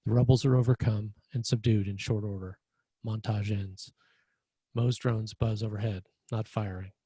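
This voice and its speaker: Dark-voiced man narrating a screenplay. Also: lowish voice, fairly even intonation, normal speed, breathy edge, dry recording. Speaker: stage-direction narrator.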